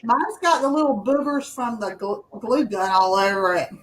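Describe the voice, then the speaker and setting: A woman with a fairly high voice who keeps her remarks short and joking in a relaxed group chat. A faint hiss sits behind her. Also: normal speed, rough recording. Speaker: crafter guest.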